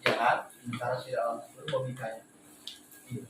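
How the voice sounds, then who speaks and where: A man's voice: mid-range voice, completely flat delivery, moderate pace. A young man, bantering as a talk show co-host in a jokey, mock-preachy manner. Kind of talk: casual banter. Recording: distant roomy mic, very echoey, noisy ambience.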